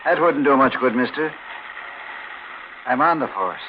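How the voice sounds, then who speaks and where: A man's voice: medium pitch, lively intonation, a little slow, close to an everyday voice. An actor playing a suspicious, menacing plainclothesman, clipped and insinuating, in 1940s radio mystery style. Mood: cagey, menacing. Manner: clipped, tough-guy, insinuating. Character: suspicious, menacing plainclothes policeman, terse and cagey. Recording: noisy, dull 1940s radio recording.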